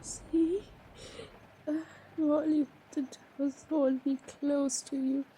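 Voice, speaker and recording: A woman's voice: high voice, flat delivery, slow, mellow, slight background noise, low-quality recording. A woman playing a shy, flustered young woman in an improvised intimate romantic audio roleplay, stammering and hesitating as she talks. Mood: shy, pleading. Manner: hesitant, stammering, breaking off and repeating words.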